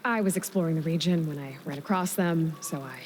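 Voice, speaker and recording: An actress playing a capable, wry young huntress in a video game, speaking in an understated conversational way. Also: female voice, low voice, a little fast, a little hiss or hum, close to an everyday voice. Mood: evasive, modest. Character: capable, wry, modest young female machine hunter.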